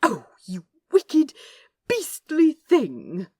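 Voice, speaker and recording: A woman's voice: high-pitched, huge pitch swings, very slow, a little mellow, no room sound, studio quality, pitched above the performer's own voice, exaggerated voice. A woman voicing a prissy, easily scandalised male angel with a fussy, old-fashioned indignant manner in an audiobook-style story reading.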